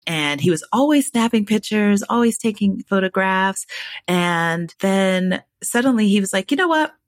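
A woman's voice: mid-range voice, lively intonation, normal speed, dry recording, studio quality. A woman hosting a podcast interview, talking in an upbeat, enthusiastic way. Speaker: podcast host.

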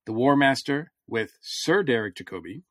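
Halting man chatting on a fan podcast. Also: medium pitch, lively intonation, a bit bright, dry recording, studio quality. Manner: halting, searching for words mid-thought. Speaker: podcast co-host discussing science fiction. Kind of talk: casual, fannish panel chat.

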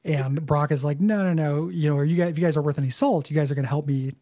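A man's voice: quick pace, dark tone, no room sound. A man with a light tenor voice co-hosting a casual podcast, rambling through an episode recap with lots of fillers. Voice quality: medium-high tenor. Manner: rambling, filler-heavy, retells scenes with quoted lines. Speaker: podcast co-host.

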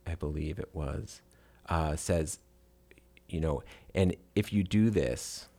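A man co-hosting a literary podcast, speaking reflectively and a little haltingly. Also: rumbling low, mellow. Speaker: podcast co-host. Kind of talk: literary discussion.

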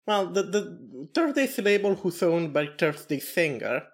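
A woman's voice: fairly low pitch, big pitch swings. Teasing woman with a light Italian accent. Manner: teasing, quick to laugh, swears casually. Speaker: podcast co-host. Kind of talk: casual podcast banter.

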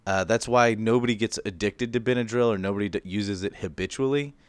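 A man's voice: fairly low pitch, brisk, clean tone, no room sound. Casual man explaining things, clean dry sound. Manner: informal, loose, self-deprecating, mild slang. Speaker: podcast co-host presenting research.

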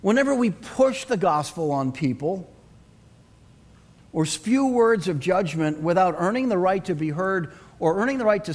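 A man's voice: on the high side, darkish tone. A middle-aged male pastor preaching an earnest sermon and asking the congregation to respond.

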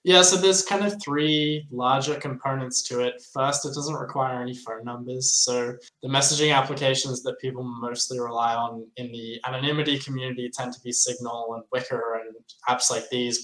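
An Australian man speaks as an interview guest, explaining things at a medium pitch. His voice comes through with a clearly roomy echo, as on a remote call from indoors. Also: steady tone, moderate pace, few pauses, fairly bright tone. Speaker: guest, software project developer. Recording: roomy, echoey sound.

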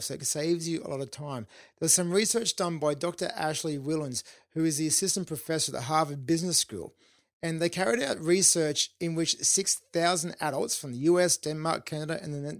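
A man with a fairly high voice talks through his podcast episode on his own, upbeat and informal. He sounds slightly hoarse, as if losing his voice. The sound is dry and close to the mic. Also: dry recording. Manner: upbeat, informal, with fillers and restarts. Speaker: podcast host. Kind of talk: solo podcast monologue.

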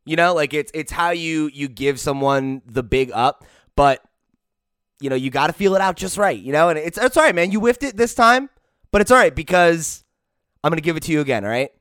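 A man's voice: a little high, animated, brisk, fairly bright tone, smooth, no room sound, clean studio sound. A man hosting a video game podcast, upbeat and playful, chatting casually with lots of "you know" and "right". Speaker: podcast host.

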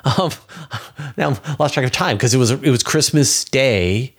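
A man's voice: medium pitch, unhurried, slightly rough. A man speaking as an interview guest, explaining in a casual, enthusiastic way and thinking aloud as he goes. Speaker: interview guest, space commentator.

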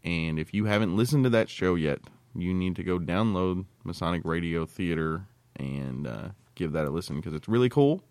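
A man's voice: low-pitched, expressive, normal speed, darkish tone, dry recording. An American man co-hosting a casual podcast, talking in a blunt, crude, colloquial way. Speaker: podcast co-host.

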